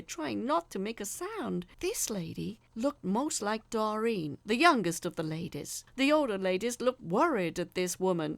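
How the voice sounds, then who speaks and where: A woman's voice: mid-range voice, creaky, dry recording. A woman reading a first-person horror story in a dramatic narrating style.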